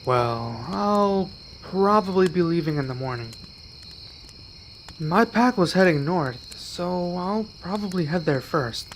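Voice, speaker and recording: An earnest young male werewolf, acted by a man for an ASMR roleplay, with a slightly high voice. He is gentle and protective, soft-spoken and given to pauses. Crickets and insects chirp faintly behind him. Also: lively intonation, a little hiss or hum, poor audio. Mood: calm, hesitant.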